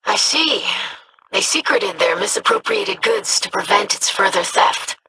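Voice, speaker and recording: A woman's voice: medium pitch, big pitch swings, measured pace, background noise. An actress playing a young, earnest female alien scholar in a video game, polite, formal and thoughtful.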